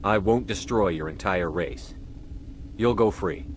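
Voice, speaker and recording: An actor playing a hard-nosed military commander in a space video game, curt and authoritative. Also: male voice, sits low, unhurried, a little hiss or hum. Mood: stern.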